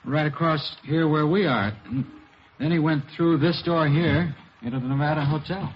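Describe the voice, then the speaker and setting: A man's voice: on the high side, moderate pace, slightly rough. Casting: young male drifter suspect, sullen and evasive, lightish voice, old muffled radio sound. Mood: guarded. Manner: sullen, guarded, short answers. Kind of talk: 1950s radio police drama acting. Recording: dull, noisy vintage radio recording.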